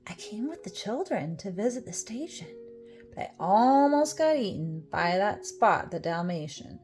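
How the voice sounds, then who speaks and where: A woman narrates a rhyming picture book for children at a slow, deliberate pace. Her phrasing is expressive and her pitch sits mid-range, with music underneath. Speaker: storybook reader.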